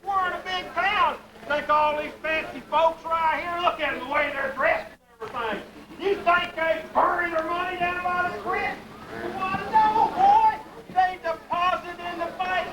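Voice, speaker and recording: A man's voice: very high voice, normal speed, reverberant, a crowd in the background, pitched above the performer's own voice. A man with a drawl playing a boastful backwoods father at an outdoor western street show, hollering his lines. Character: boastful rustic frontier father, middle-aged. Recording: noisy outdoor live show.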